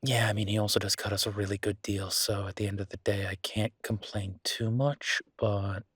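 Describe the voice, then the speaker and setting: Husky, frustrated but fond boyfriend played by a man. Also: on the low side, little pitch movement, normal speed, a bit bright, no echo, studio quality. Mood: venting, appreciative. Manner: conversational, hesitant, exasperated but fond. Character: frustrated, affectionate restaurateur boyfriend, talking to his partner. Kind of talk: intimate roleplay audio drama, one-sided conversation.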